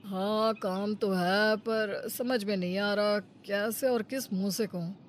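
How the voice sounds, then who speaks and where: A woman's voice: mid-range voice, fairly even intonation, slow, a little hiss or hum, lightly acted. A woman voicing a cunning, lazy, greedy village man in a Hindi moral-story cartoon, coaxing and wheedling. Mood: wheedling, pitiful.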